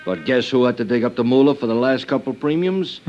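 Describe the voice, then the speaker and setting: An actor playing a pushy, defensive talent agent who talks in emphatic slang, in 1950s radio drama style. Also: male voice, medium pitch, animated, brisk, darkish tone, close to an everyday voice. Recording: dull old radio recording.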